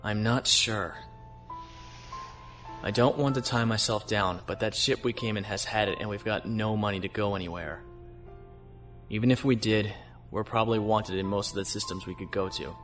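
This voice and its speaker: A man acts a weary starship captain with a fairly low voice. He speaks earnestly and reflectively, as a principled leader in a space audio drama, with music behind him. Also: steady tone, moderate pace, fairly bright tone, lightly acted. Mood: uncertain, resigned. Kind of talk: science fiction audio drama acting.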